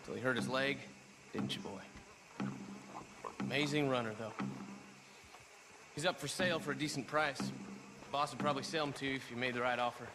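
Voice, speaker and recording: A man playing a gruff rural stable hand in a video game, talking in folksy, ungrammatical country speech. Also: mid-range voice, noisy background, natural voice. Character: gruff, suspicious rural Southern stable hand who loves horses. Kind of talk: video game cutscene acting.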